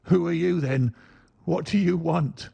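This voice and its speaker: A man's voice: fairly high pitch, animated, measured pace, gravelly, mellow, breathy edge, dry recording, pitched above the performer's own voice, heavily acted. A man voicing a nervous, frightened little man who whines and speaks in a quavering voice, in a storybook reading. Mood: nervous whining. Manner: whining, quavering.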